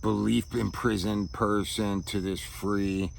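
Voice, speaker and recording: Low-voiced man, halting and reflective. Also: fairly even intonation, vocal fry, slight background noise. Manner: halting, searching for words, often checking with "right?" and "man". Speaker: vlogger. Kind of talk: reflective personal monologue to camera. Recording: outdoor ambience with insects chirping.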